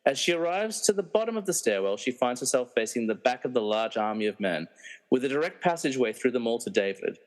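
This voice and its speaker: A man's voice: medium pitch, big pitch swings, fast, close to an everyday voice. A man playing a wry narrator in a comedy radio play, reading dramatic narration with mocking asides. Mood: suspenseful. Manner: wry, theatrical. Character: wry, meddling movie narrator who mocks the characters. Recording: reverberant hall-like echo.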